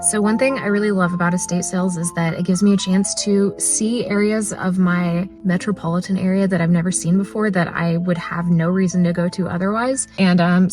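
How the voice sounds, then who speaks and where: A young woman from Texas, chatting upbeat in a casual vlog while a little hoarse from a recent cold, full of "like" and "uh". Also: fairly low pitch, darkish tone. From Texas, USA. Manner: chatty and upbeat, with many "like" and "uh" fillers. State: hoarse after losing her voice to a cold. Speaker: vlogger, maker. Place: inside her car, then out at estate sales. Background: music playing underneath.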